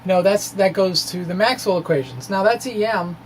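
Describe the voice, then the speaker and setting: A man giving an informal explanatory lecture in a halting, conversational way, with asides. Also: high voice, a little hiss or hum, rough recording. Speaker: physics blogger presenting derivations.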